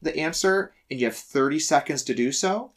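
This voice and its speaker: A man hosting a casual podcast, running a homemade game show with excited, rambling talk and quick self-corrections. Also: fairly high pitch. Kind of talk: casual chat.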